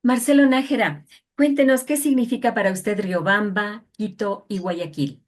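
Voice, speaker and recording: A woman's voice: mid-range voice, normal speed. A woman hosting an interview in a formal, respectful style. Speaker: interviewer, program host.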